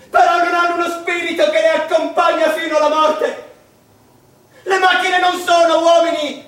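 Stage drama role: emotional, high-pitched mathematician, declamatory and anguished, very echoey distant recording. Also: male voice, pushed and bright, heavily acted. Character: anguished male mathematician, defiant, tender and grieving. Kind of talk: theatrical stage monologue.

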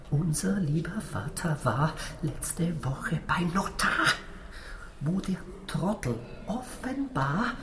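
A man playing a resentful middle-aged uncle in a rhyming radio comedy, speaking in a hushed, conspiratorial way. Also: high voice, full character voice. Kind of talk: rhymed radio play acting. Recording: echoey church-like room, clear background noise.

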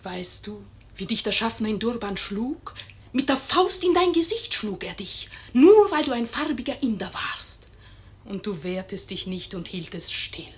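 A woman's voice: medium pitch, very animated, measured pace, slight background noise. A woman playing an urging inner voice in a poetic radio play, declaiming with solemn intensity. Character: female inner voice, solemnly urging a dying man.

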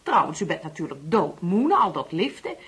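An actress playing a middle-aged mother in a Dutch radio play, a fussing, anxious and gently insistent hostess. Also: on the low side, very animated, fairly quick, husky, heavily acted. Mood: fussing, hospitable.

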